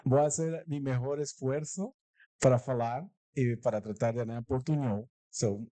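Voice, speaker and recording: A man's voice: medium pitch, steady tone, moderate pace, warm tone, no echo. A man from Mexico whose first language is Spanish, giving a live talk at a corporate event with a Mexican Spanish accent. He jokes about himself, laughs often and slips Spanish words into his Portuguese. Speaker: guest speaker.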